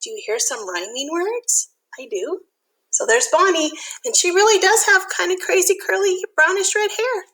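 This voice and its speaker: Bright, high-voiced woman reading to children. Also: moderate pace, rough recording. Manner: animated, sing-song, chatty asides to young listeners. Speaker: elementary school teacher reading to children. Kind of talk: expressive children's picture book read-aloud with asides.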